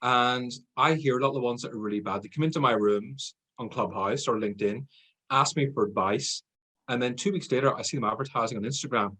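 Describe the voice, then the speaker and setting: A man's voice: medium pitch, fairly bright tone, breathy edge, low-quality recording. A man with an Irish accent, a guest on a podcast interview, talking in a chatty, self-deprecating way and laughing easily. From Ireland. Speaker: guest, sales trainer.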